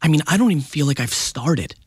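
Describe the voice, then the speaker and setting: A man of about forty, a guest on a radio show, talking in an emphatic, animated way and often tagging "right?" onto his points. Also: fairly high pitch, quick pace, slightly rough, no room sound, studio quality. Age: 40s. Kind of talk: casual radio interview. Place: radio studio. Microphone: studio microphone up close.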